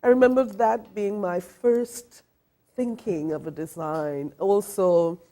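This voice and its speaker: A middle-aged woman from Trinidad and Tobago, a fashion designer, answering interview questions in a soft, thoughtful way with many pauses and fillers. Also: mid-range voice. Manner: soft-spoken, thoughtful, many ums and uhs. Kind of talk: interview guest.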